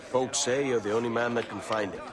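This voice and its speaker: An actor playing a blunt, self-assured young pirate captain in a video game, with a terse, dry manner. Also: male voice, mid-range voice, steady tone, brisk, over a noisy crowd, lightly acted. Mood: dry, probing. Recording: music under the voice.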